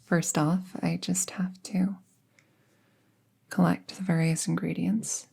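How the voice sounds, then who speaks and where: A woman plays a friendly young apprentice witch in an intimate audio roleplay. Her voice is low and mellow, breathy and often close to a whisper. She takes her time, murmuring in a casual, reassuring way. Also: level tone, slow, exaggerated voice. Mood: focused, calm. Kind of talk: roleplay audio drama, soft ASMR-like delivery.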